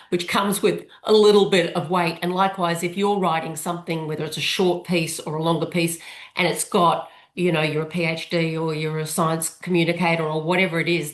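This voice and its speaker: A woman with an Australian accent, speaking as a guest author in a webinar, measured and explanatory. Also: low-pitched, echoey room. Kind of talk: webinar conversation. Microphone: video call.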